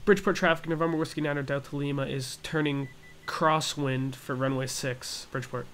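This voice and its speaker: A man's voice: mid-range voice, steady tone, brisk, a bit bright. A man hosts a flight simulator tutorial in a mid-range voice. He talks casually and a little hesitantly as he explains each step. There is noticeable noise and ambience behind him. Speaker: gaming tutorial host.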